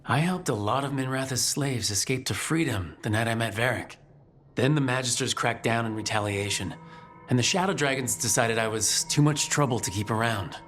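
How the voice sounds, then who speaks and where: A man's voice: mid-range voice, normal speed, a bit bright, close to an everyday voice. A man acting as a young human mage, the hero of a fantasy video game. He sounds earnest and resolute, speaking in a medium male register. Faint music plays under the voice. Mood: reflective. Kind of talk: video game dialogue and reflective monologue.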